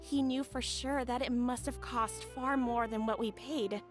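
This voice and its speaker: A woman's voice: a little high, fairly even intonation, measured pace, faint music behind, lightly acted. A young woman playing a sweet, humble, grateful bride-to-be in a video game. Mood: earnest, hesitant.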